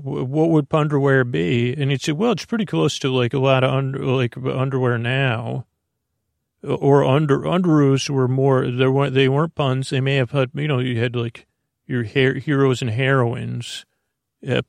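A man hosting a sleep podcast in a creaky, gravelly voice, rambling haltingly through whimsical tangents and imagined back-and-forth with himself. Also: mid-range voice, no room sound, studio quality. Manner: meandering, tangent-prone, halting; whimsical wordplay, talks to himself in imagined dialogue. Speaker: podcast host.

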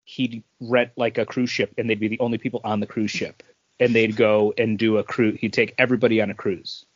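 A man's voice: fairly low pitch, flat delivery, a little fast, no echo. A middle-aged man co-hosting a podcast, chatting casually about entertainment news in a rambling, anecdotal way. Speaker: podcast co-host. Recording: dull lo-fi sound.

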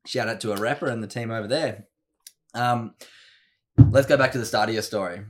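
A young Australian man hosts a relaxed podcast from a home bedroom studio. His voice sits fairly low, and he chats in an upbeat, slangy way. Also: a little fast. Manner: upbeat and chatty, with slangy asides. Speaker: podcast host. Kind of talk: casual podcast chat.

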